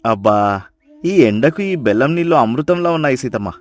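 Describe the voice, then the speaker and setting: A man voicing a hearty, friendly visiting village in-law in a moral story, loud and exclaiming in a folksy way. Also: mid-range voice, expressive, normal speed, faint music behind, lightly acted. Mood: friendly, grateful. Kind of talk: storybook dialogue acting.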